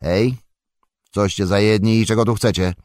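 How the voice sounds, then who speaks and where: A man voicing a stout, older male hobbit farmer who talks loudly and heartily. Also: fairly even intonation, normal speed, no room sound, studio quality, natural voice. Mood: hearty. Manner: loud, booming and hospitable.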